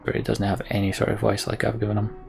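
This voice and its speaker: A man with a low, creaky voice plays through a video game as host. He narrates and comments in a casual, dry way, with music behind him. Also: monotone, fast. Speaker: let's play host. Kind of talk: video game commentary and reading of on-screen text.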